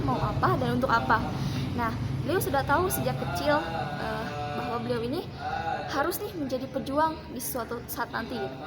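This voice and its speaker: A young woman giving an informal educational talk in a colloquial, explanatory way. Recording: noisy recording with ambient vehicle-like rumble.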